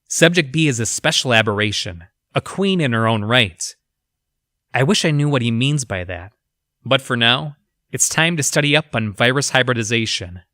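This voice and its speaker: An actor playing an educated, haunted research scientist, reading his journal for an audio drama in a formal, measured, clinical manner. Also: mid-range voice, bright, forceful tone, dry recording, studio quality. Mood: dutiful.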